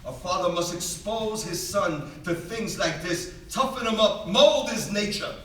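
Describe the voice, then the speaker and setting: A man's voice: high-pitched, measured pace, fairly bright tone. A man playing a proud, doomed Greek warrior and father, declaiming with command in a staged reading of a tragedy. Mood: proud, solemn. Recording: echoey theater, distant mic, faint noise.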